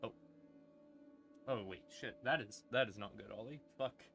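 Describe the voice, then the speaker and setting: A man's voice: on the low side, normal speed, gravelly, fairly bright tone. A man livestreaming as a storyteller, with a casual, self-deprecating way of talking. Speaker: streamer, storyteller. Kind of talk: livestream ramble and atmospheric second-person horror narration. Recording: ambient music behind the voice. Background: creepy ambient music.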